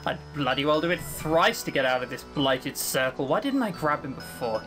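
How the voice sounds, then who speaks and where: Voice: medium pitch, animated, moderate pace, a bit bright, soft background music, voice pushed down, lightly acted. A voice actor playing an ancient fiend trapped in a circle for centuries, mocking and brooding, breaking into anguished outbursts, in a first-person audio roleplay.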